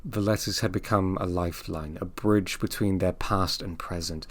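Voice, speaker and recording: Low-voiced solemn drama narrator. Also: male voice, fairly even intonation, moderate pace. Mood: measured, ominous. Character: solemn storyteller of a ghostly love story. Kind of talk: scripted audio drama narration.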